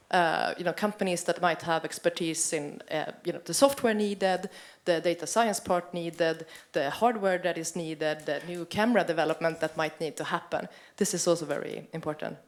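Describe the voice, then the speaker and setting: A woman's voice: on the low side, normal speed. A woman on a panel, briefly adding points and listing examples. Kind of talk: panel discussion.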